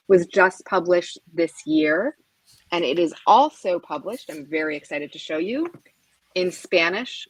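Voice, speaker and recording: Low-voiced woman moderating a panel. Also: no room sound, low-quality recording. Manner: clear and orderly, reads introductions and questions. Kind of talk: hosting a panel discussion.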